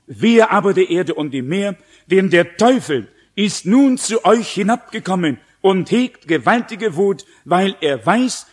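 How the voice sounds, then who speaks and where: A man preaching a sermon, reading out scripture and explaining it to his listeners in an emphatic, didactic way. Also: sits high. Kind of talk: sermon with read-out scripture and teaching.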